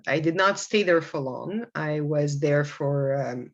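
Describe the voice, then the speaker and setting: A soft-spoken man from Quebec whose first language is Arabic, talking hesitantly with many restarts in a casual podcast conversation. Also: on the high side, unhurried. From Quebec, Canada. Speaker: guest. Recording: dull lo-fi audio.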